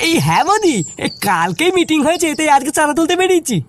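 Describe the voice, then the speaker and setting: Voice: high-pitched, big pitch swings, fast, a little hiss or hum. A performer voicing a bossy young village club leader in a comic cartoon, businesslike and coaxing. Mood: polite, coaxing.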